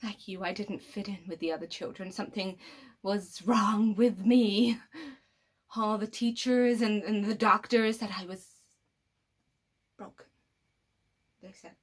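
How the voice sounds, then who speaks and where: A woman performing a dramatic monologue as an embittered, coldly superior killer with psychic powers, pausing heavily and dropping into breathy whispers. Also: mid-range voice, steady tone, lightly acted. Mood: quiet, wounded. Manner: slow dramatic pauses, sinking into breathy whispers.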